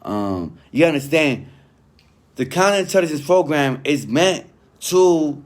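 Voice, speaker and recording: A man's voice: fairly high pitch, animated, a little slow. A man giving informal, street-slang commentary. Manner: street-slang, conversational, peppered with "you know what I mean". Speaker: online commentator reviewing a written statement. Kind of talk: informal commentary mixed with reading aloud.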